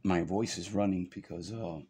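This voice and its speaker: A middle-aged man narrates a drawing lesson in a very low, hoarse voice, worn down by a cold. He speaks softly and haltingly, with fillers between thoughts. A faint hiss sits under the recording. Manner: soft-spoken, halting with fillers. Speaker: art instructor. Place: indoors at a drawing desk.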